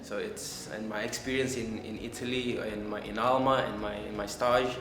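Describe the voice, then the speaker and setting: A man's voice: lowish voice, little pitch movement, normal speed, background noise. A man from Brunei, a culinary student who rambles and repeats words in an interview testimonial. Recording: echoey room with background music.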